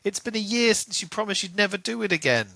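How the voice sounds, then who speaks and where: A man's voice: high-pitched, expressive, fast, gravelly, bright, forceful tone, no echo. A man co-hosting a podcast in a casual book discussion, speculating aloud and posing questions as he goes. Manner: speculative, rhetorical questions, acts out quotes. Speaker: podcast co-host.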